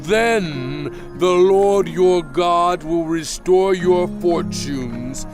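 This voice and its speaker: Slow, high-pitched solemn prophet. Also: male voice. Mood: earnest, exhorting. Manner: declamatory, emphatic, weighty pauses. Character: solemn prophet leader warning his people, stern and fervent. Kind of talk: dramatized scripture reading, oratory. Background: music bed.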